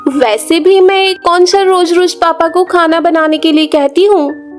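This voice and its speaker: Woman as small daughter in animated children's tale, high childlike voice, headstrong but affectionate, pleading delivery, soft music behind. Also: measured pace. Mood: insistent, pleading. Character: young daughter, stubborn, loving, pleading little girl.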